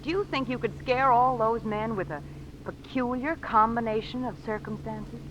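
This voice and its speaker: An actress playing a sharp, insistent lady companion with a wry manner, in 1940s radio style. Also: fairly high pitch, steady tone, moderate pace, lightly acted. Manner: wry, pressing. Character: sharp, insistent woman companion, dryly witty. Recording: dull, noisy old-time radio recording.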